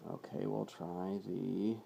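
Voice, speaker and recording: A man's voice: deep voice, little pitch movement, slow pace, vocal fry, very noisy. A man giving a casual, unscripted, hands-on demonstration of gear, plain-spoken and matter-of-fact, in an amateur home video. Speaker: hobbyist presenter demonstrating radio gear.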